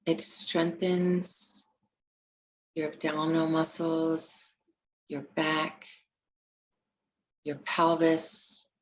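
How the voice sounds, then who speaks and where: A woman leading a guided meditation, giving gentle, unhurried instructions with long pauses between phrases. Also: sits low, little pitch movement, poor audio.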